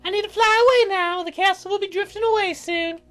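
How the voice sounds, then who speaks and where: Woman putting on a high, girlish, teasing fairy. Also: brisk, fairly bright tone, soft background music. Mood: sweetly apologetic. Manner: girlish, teasing, sing-song delivery. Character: playful, teasing young girl cloud fairy. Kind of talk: visual novel character read in a let's play.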